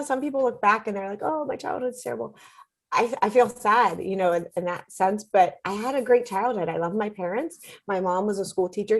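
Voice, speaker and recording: An American woman in her thirties or forties, chatting informally as an interview guest on a video call, telling personal stories. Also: mid-range voice. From Pittsburgh, United States. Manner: chatty, informal, quick to joke. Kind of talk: casual personal storytelling.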